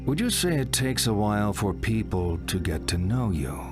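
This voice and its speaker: An actor playing a middle-aged psychotherapist, deliberate, probing and slightly theatrical, in a video game monologue. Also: male voice, on the low side, sing-song. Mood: coaxing, probing. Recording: loud music under the voice.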